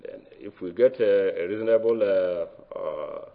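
A man with a Nigerian accent presents his research findings in a low voice. He pauses often and hesitates between phrases. The recording is dull and lo-fi. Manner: hesitant, many uh fillers, halting phrasing. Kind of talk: academic conference presentation.

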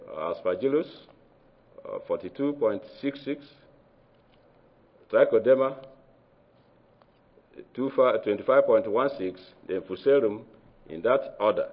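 A man from Nigeria with a Nigerian accent, giving an academic research presentation in a hesitant way, with many fillers and halting phrases. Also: mid-range voice. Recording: dull lo-fi recording.

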